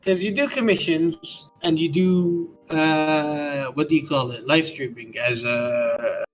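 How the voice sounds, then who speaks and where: A man's voice: fairly high pitch, takes it slowly, faint music behind, low-quality recording. A man co-hosting a casual podcast, talking bluntly and cracking crude jokes. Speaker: podcast co-host.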